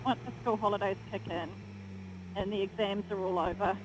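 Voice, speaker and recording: A woman's voice: medium pitch, fairly even intonation, brisk, low-quality recording. A woman riding pillion on a motorbike, chatting in a bubbly way and finishing her partner's sentences. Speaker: vlogger, pillion passenger. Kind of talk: casual chat while riding. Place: outdoors on a moving motorbike. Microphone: action camera on the bike.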